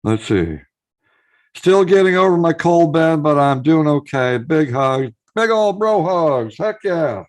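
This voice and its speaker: A man with a hoarse voice, chatting casually on his live stream, greeting viewers by name and reading out their messages. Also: a little high, animated, takes it slowly.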